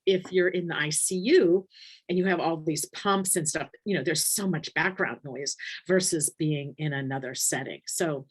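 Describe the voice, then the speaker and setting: Low-voiced woman nurse educator, chatty. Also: breathy edge. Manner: warm conversational, many ums, thinks aloud. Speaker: palliative care nurse educator. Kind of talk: casual webinar teaching, reading chat questions.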